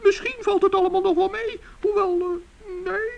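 Male forest gnome, very high pitch, gravelly, stammering, fretful, vintage radio play. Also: fairly even intonation, normal speed, darkish tone, dull audio. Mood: worried. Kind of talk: 1960s children's radio play.

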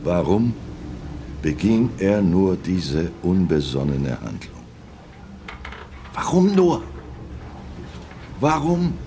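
A low-pitched old sailor, loyal and grieving, tells his memories in a radio play. He broods and repeats himself, with some ambient noise behind him. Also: big pitch swings, slow pace, long pauses, mellow, exaggerated voice. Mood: anguished brooding. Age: older. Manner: ruminative, reminiscing, repeats himself. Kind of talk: radio play monologue, storytelling.

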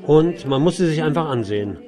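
A man's voice: on the high side, animated, fairly quick, dark tone. A man hosting a casual on-location interview inside a small shop. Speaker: interviewer and radio host. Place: small shop or gallery indoors. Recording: busy background chatter, recorded on location.

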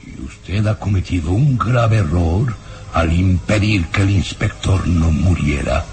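A slow, ominous, sinister baron. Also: male voice, low-pitched, background noise. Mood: ominous, accusing. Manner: theatrical, insistent, drawn-out ominous delivery. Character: sinister, menacing baron urging a killing. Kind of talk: radio drama acting. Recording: radio drama with loud music behind. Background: dramatic music.

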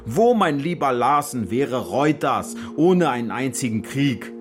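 An actor in a radio drama playing a smug Syrian army officer who talks in a condescending, mock-friendly, persuasive way. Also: male voice, mid-range voice, sing-song, unhurried, music in the background. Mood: coolly threatening. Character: Syrian army officer, smug, calculating, coolly menacing blackmailer. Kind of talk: radio drama acting, naturalistic dialogue.

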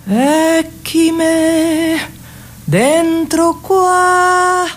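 A woman's voice: very high voice, steady tone, drawn-out words. A woman with a Roman accent singing live as a guest in a radio studio. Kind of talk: slow live solo singing.

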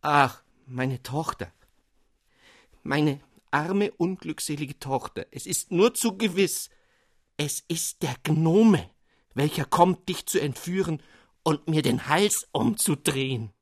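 A man voicing a pompous, fretful elderly father in a storybook reading, with theatrical, high-flown, lamenting delivery. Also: expressive, slow, no room sound, studio quality, heavily acted. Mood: mournful, fearful. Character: pompous, fretful elderly father dabbling in mysticism, melodramatically despairing.

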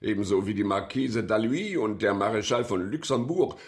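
A man playing an authoritative, cautious police president in a German radio crime drama, with a deliberate, official manner. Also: on the low side, animated. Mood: agitated, emphatic.

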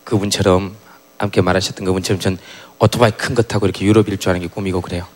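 A man's voice: low-pitched, rapid-fire. A man on a TV music show reading listener comments in comic banter, acting out funny voices. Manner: lively, acts out funny voices, laughs often. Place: TV music show studio stage. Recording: broadcast studio with live audience.

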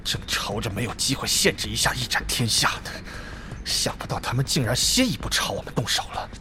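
A man's voice: mid-range voice, animated, fairly quick, background noise, close to an everyday voice. An actor in a Chinese audio drama playing an agitated, blustering male guild leader. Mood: alarmed. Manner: agitated, forceful.

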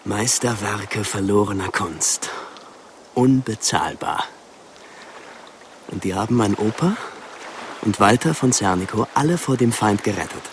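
An actor playing a smug, gloating upper-class villain in a radio drama, with theatrical, mocking politeness. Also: male voice, on the low side, animated, a little slow, pauses often, slight background noise. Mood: smug, savoring. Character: gloating, smug aristocratic villain holding captives at gunpoint.

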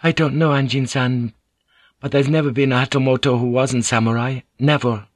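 A man voicing a polite, composed Japanese woman interpreter in an audiobook story, speaking in a measured, formal and deferential way. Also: mid-range voice, fairly even intonation, no echo, studio quality, voice pushed up, lightly acted. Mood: gentle, deferential.

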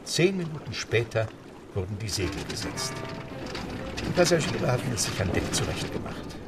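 A man narrating a radio play in a steady, measured storytelling manner. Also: on the low side, over loud music.

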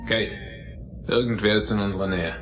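Man as a steady, low-voiced spaceship captain. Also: a little fast, lightly acted. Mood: calm, alert. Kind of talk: German audio drama acting. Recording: music clearly behind the voice.

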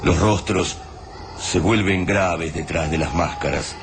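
A man's voice: deep voice, slow, noisy background. A man narrating a radio play in a grave, portentous, dramatic scripted style. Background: music.

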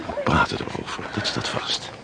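A man's voice: moderate pace, close to an everyday voice. A man acting in a historical radio play as an eager, lovestruck young sailor, dreamy and amorous, over heavy ambient noise. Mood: tender.

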